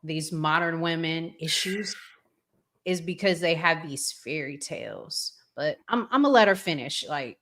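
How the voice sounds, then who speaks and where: Slow, bright-voiced American woman hosting. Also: sits low, slight background noise. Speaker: podcast host. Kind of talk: casual livestream commentary.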